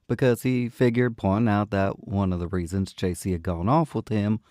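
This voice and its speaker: A man's voice: fairly low pitch, steady tone, normal speed, darkish tone, no room sound, clean studio sound. A man who works as an audiobook narrator and panel host, speaking expressively.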